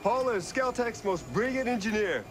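A man's voice: sits high, big pitch swings, normal speed, music in the background. A man playing a polite, eager tech company boss in a video game, slightly hesitant in his speech. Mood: cordial. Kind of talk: video game cutscene acting.

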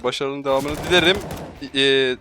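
A man chatting casually in game voice chat, cheeky and mock-polite. His voice has an ordinary middle pitch, with game music clearly playing behind. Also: fairly even intonation, moderate pace. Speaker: online shooter player.

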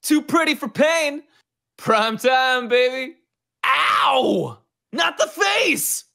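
A man's voice: extremely high pitch, very animated, very slow, creaky, bright, forceful tone, heavily acted. A man playing a vain, cocky young gunslinger, delivering showy, dramatic video game lines. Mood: pained outrage. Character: vain pretty-boy young male gunslinger.

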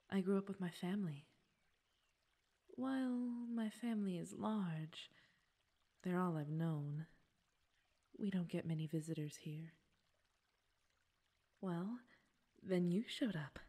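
Woman voicing fey queen, ASMR roleplay, gentle but possessive, lowish pitch, breathy, soothing, slightly formal. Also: slow, pauses often. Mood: sweet, gracious. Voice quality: breathy, often near a whisper. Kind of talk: ASMR roleplay audio drama.

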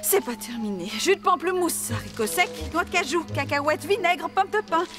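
A woman's voice: very high voice, lively intonation, normal speed, music in the background. A woman playing a young queen in a fairy-tale cartoon, earnest, dramatic and determined to protect her child. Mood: anxious, effortful.

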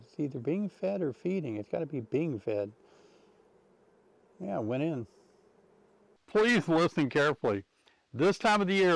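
A man's voice: a little high, creaky, no echo, poor audio. A man in his sixties hosting a beekeeping vlog outdoors by his hives, explaining in a friendly, easygoing way with fillers, on a lapel mic. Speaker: beekeeping channel host. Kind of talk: casual instructional vlog. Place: outdoors beside beehives.

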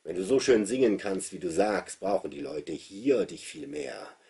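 A man voicing a gruff, taciturn grown man, a killer with a curt, blunt manner, in a literary story reading. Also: lowish voice, huge pitch swings, normal speed, warm tone, pitched above the performer's own voice, exaggerated voice. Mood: gruff, warning.

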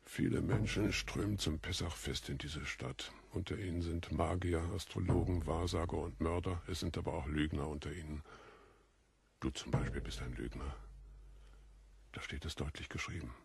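An actor playing a pained, scornful Roman governor interrogating a prisoner in an audio drama. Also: male voice, very deep voice, steady tone, normal speed, heavily acted. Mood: cold, threatening. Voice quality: gravelly, husky. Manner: curt, scornful, commanding.

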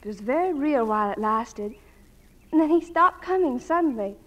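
A woman's voice: high-pitched, lively intonation, normal speed, a bit bright, lo-fi sound. A woman acting a breezy, affectionate eighteen-year-old student daughter in 1940s-style radio drama. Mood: curious, teasing.

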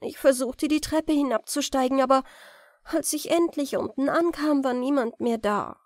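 A woman's voice: high voice, normal speed, no echo, clean studio sound, voice pushed up, natural voice. A woman voicing an anxious, plaintive little girl in a fairy-tale audiobook, pleading as she talks.

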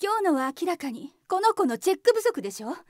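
An actress playing a stern, sharp-tongued wife who speaks in a curt, scolding manner in a voice drama. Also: female voice, extremely high pitch, sing-song, fast talker, no echo, clean studio sound. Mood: angry, scolding. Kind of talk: voice drama acting.

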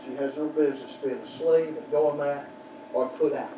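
An older man preaching a sermon in a small church, building to shouted peaks and dropping to quiet prayer. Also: a little high, steady tone, normal speed, highs cut off. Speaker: pastor. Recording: roomy church echo from far off, background noise.

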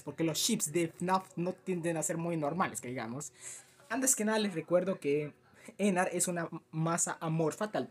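High-voiced young Latin American man, rambling. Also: husky. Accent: Latin American Spanish. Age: teens to early twenties. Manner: animated, rambling, self-deprecating. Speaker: YouTuber reacting to a fan dub. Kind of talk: casual chat to camera. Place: small cluttered room at home.

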